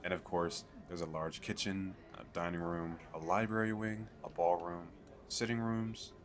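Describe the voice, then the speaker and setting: A man playing an eager, chatty fantasy merchant in an audio roleplay, talking the listener through a sales pitch in a hesitant, rambling, persuasive way. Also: steady tone, normal speed, slight background noise, lightly acted. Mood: proud, enthusiastic. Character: eager, chatty male fantasy merchant selling magical crystal castles.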